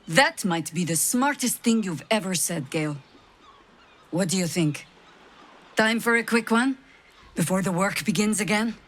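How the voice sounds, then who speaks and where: A woman's voice: mid-range voice, expressive, a little slow, long pauses. Spirited, teasing woman adventurer. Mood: playful. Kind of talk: video game cutscene acting.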